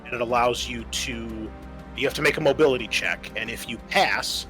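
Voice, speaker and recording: A man's voice: medium pitch, normal speed, bright, forceful tone, soft background music. A man acting as game master, casually narrating a tabletop roleplaying game and explaining rules in informal, sweary talk.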